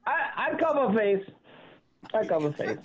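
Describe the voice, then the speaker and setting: A man's voice: high-pitched, unhurried, slight background noise. A man putting on a cheeky broken-English jokester. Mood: deadpan. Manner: deadpan joking, broken phrasing. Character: comic man from Baghdad, cheeky, deadpan. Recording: tinny phone line.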